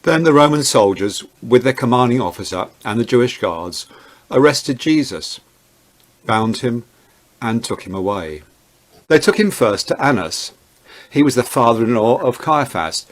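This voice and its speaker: A man's voice: mid-range voice, lively intonation, a little slow. A man narrating a dramatized Bible reading as a scripted storyteller.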